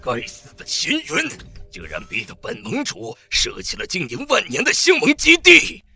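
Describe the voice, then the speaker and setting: A man voicing an arrogant, godlike alien overlord in an animated comic drama, pompous, contemptuous and menacing. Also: sits high, normal speed, soft background music, exaggerated voice. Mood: vengeful fury.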